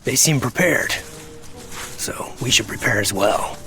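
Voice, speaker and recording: Man as a gravelly, deliberate adventurer. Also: medium pitch, animated, pushed and bright, soft background music, full character voice. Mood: scheming. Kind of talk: audio drama actual play, in character.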